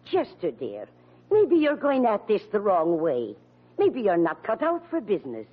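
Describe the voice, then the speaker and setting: Encouraging middle-aged mother, very animated intonation, soothing, vintage radio comedy, muffled recording. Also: medium pitch, unhurried, slightly rough, exaggerated voice. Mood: consoling. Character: fond, encouraging mother of a grown son. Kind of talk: old-time radio comedy acting.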